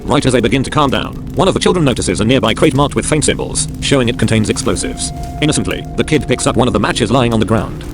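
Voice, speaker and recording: A man's voice: medium pitch, animated, fast, over music. A man reading scripted narration of dramatic action scenes as a storyteller.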